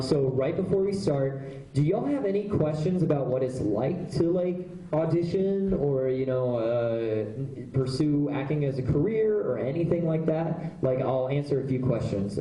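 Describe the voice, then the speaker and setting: Chatty man with a dark, echoey voice. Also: medium pitch. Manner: chatty, loose, lots of fillers. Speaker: voice actor hosting a convention panel. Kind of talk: casual convention panel Q&A. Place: convention panel room. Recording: echoey panel room.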